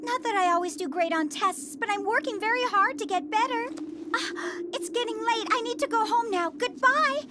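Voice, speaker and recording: A woman's voice: very high voice, normal speed, heavy background noise. An actress playing a polite, innocent, earnest schoolgirl in an anime dub. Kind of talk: dubbed anime acting. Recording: music loud behind the voice.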